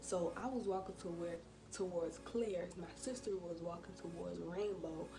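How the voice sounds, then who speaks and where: A young American woman telling a casual story to camera, acting out what people said in an animated way. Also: lowish voice, moderate pace. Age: young adult. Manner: animated retelling with acted-out quotes. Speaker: vlogger. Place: small bedroom, indoors. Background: hip hop music.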